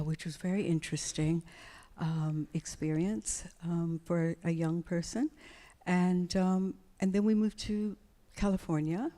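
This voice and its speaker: Reflective woman in her sixties, mellow tone. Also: sits low. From United States. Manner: reflective, unhurried, with many fillers. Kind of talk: live onstage conversation. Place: event hall before an audience.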